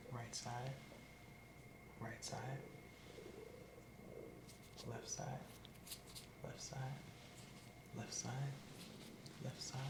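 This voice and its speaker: A man playing a patient in an ASMR exam, giving brief, quiet, compliant answers. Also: medium pitch, roomy sound, heavy background noise. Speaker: patient in a role-play.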